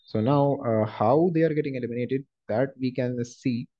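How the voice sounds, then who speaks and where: A man working as a software trainer, explaining a screen-share tutorial step by step in a halting, explanatory way. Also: mid-range voice. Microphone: screen-recording voice-over. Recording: dull lo-fi voice recording.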